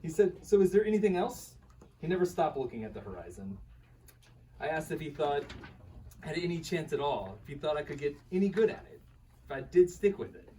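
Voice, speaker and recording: A man acts a sheepish husband full of self-doubt, talking ruefully in a live radio play. His voice is on the higher side and very animated, caught some way from the mic with faint room noise. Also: brisk, far from the mic, low-quality recording. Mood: rueful storytelling. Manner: conversational, rueful, animated storytelling. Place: live reading at a bookshop event.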